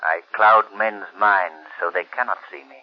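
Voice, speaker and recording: An actor playing a mysterious invisible crime fighter in 1930s radio style, calm, measured and commanding. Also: male voice, on the low side, close to an everyday voice. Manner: measured, deliberate, authoritative. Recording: muffled old 1930s radio recording.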